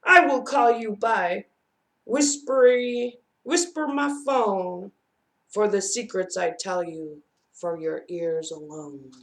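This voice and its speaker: A woman voicing a secretive, sly old male creature from a children's storybook in a hushed, drawn-out manner. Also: mid-range voice, voice pushed down.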